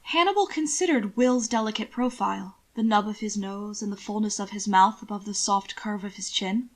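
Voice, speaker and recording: Woman narrating a story, measured and expressive. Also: mid-range voice. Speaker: narrator reading fan fiction aloud.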